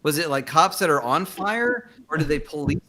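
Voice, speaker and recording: A man's voice: a little high, expressive, moderate pace, pushed and bright. Man chatting casually, rambling and self-deprecating. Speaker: screenwriter guest. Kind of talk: casual interview chat.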